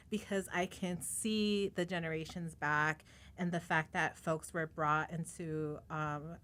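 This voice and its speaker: Low-voiced woman hosting, chatty and relaxed. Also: slight background noise. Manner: relaxed, laughs easily, chatty. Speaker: podcast host. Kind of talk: casual interview chat.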